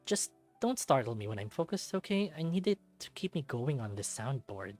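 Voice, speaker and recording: A man's voice: mid-range voice, sing-song, moderate pace, a little mellow, faint music behind, natural voice. A man voicing a shy, jumpy young performer in a visual novel, stammering and awkward. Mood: nervous. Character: shy, jumpy, irritable young male performer in furry story. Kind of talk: visual novel character reading.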